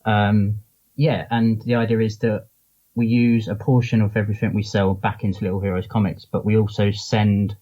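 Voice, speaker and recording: Low-voiced man chatting, muffled lo-fi audio. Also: level tone, normal speed. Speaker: interview guest, comics charity founder and publisher. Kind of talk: casual interview chat.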